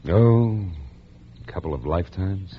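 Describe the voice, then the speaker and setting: A man's voice: low voice, lively intonation, a little slow, slightly rough, exaggerated voice. An actor playing a devoted, easygoing male lover with a teasing, informal manner in mid-century radio drama. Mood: playful, tender. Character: playful, devoted male lover, a piano player. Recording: dull, noisy old radio recording.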